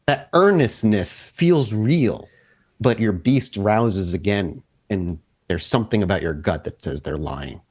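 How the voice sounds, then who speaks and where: A man improvising narration as game master of a tabletop horror game, descriptive and pausing as he builds suspense. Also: fairly low pitch, lively intonation.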